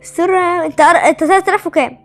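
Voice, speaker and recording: A woman with an Egyptian Arabic accent chatting casually and playfully as a gaming host, excitable and teasing, often repeating words in chants. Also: extremely high pitch, very fast, faint music behind. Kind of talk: casual playful gameplay chat.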